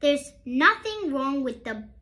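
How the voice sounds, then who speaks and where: A woman voicing a kind, encouraging young schoolboy in a storybook, gently reassuring his best friend. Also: sits high, big pitch swings, takes it slowly, heavily acted.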